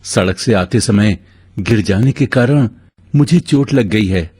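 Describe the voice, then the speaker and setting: A man voicing a kind, mysterious stranger who is earnest and reassuring, in an animated moral story. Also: lowish voice, unhurried, breathy edge, no echo, clean studio sound. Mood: weary, grateful. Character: kind, mysterious male stranger turned devoted adoptive brother.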